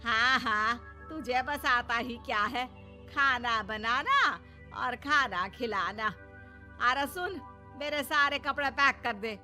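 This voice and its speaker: A woman's voice: fairly high pitch, slow pace, pushed and bright, faint music behind, exaggerated voice. A woman playing a scornful middle-aged mother-in-law with a nagging, grumbling manner in a moral story. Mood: dismissive.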